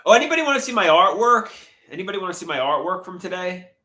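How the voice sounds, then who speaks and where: Brief: congested male streamer, bright lively voice, rambling delivery, roomy echo. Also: high voice, fast talker. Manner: rambling, hesitant, trails off mid-thought. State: stuffy, congested nose. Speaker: livestream host. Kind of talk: casual livestream chat, reading comments aloud.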